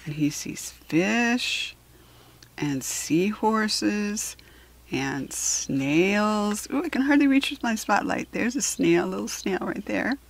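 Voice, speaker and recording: An American woman reading a children's book aloud in a deliberate, instructive way, adding chatty asides for young listeners. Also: medium pitch, lively intonation, creaky, breathy edge. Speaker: children's book reader and host.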